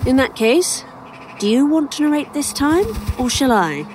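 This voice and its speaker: A woman acting a wry, troubled character in an audio drama, speaking in a deliberate, theatrical way. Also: high-pitched, sing-song, a little mellow, slight background noise. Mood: wry taunting. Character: wry, menacing injured woman narrating her tale.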